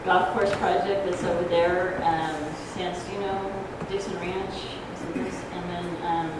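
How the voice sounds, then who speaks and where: A soft-voiced woman giving an informal explanatory talk at a public forum, speaking in a halting, hesitant way. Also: lowish voice, level tone. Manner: halting, hesitant, loses her thread. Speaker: ballot measure proponent, debate speaker. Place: community grange hall. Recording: echoey hall, distant noisy microphone.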